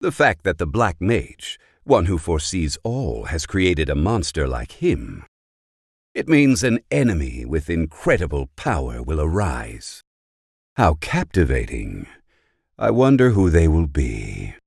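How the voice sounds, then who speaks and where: A man playing a scheming, scholarly villain in a fantasy game, coolly amused, with a gravelly, husky voice and a slow, musing delivery. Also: a bass voice, big pitch swings, a little mellow, no room sound, studio quality, heavily acted. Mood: coolly intrigued. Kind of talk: fantasy video game voice acting.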